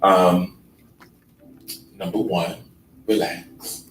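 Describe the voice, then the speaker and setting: A man giving a relaxed workshop presentation in a conference room, with a sleepy, laid-back, unhurried delivery full of ums. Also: sits low. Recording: echoey room from far off with noise.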